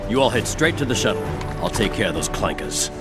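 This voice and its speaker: A man playing a confident, battle-hardened soldier in an animated war series, clipped and determined. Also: lowish voice, fairly quick. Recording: loud film music underneath.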